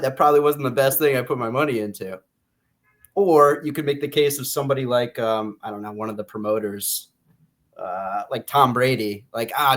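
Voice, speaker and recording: An American man talks casually as a podcast co-host discussing a book. He speaks in a conversational, halting way, often circling back to rephrase what he means. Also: mid-range voice.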